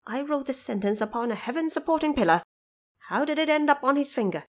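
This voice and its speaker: A woman's voice: high-pitched, fast talker, pitched above the performer's own voice. Brash monkey king played by a woman: raised, swooping voice, challenging and full of himself, for fantasy audio drama. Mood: astonished, disbelieving. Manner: boastful, excitable, challenging. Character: brash, cocky male monkey king, defiant and boastful.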